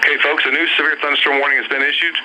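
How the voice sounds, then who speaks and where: A man's voice: fairly high pitch, little pitch movement. Man reading weather bulletins over noisy radio. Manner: halting, matter-of-fact, pausing through lists of places. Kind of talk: live, unscripted severe weather bulletin. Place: weather service office, heard over radio.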